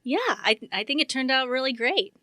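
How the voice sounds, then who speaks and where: A woman's voice: high-pitched, normal speed, pushed and bright, no echo, clean studio sound. A woman speaking as a guest in a casual radio interview, agreeable and informal. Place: radio station studio.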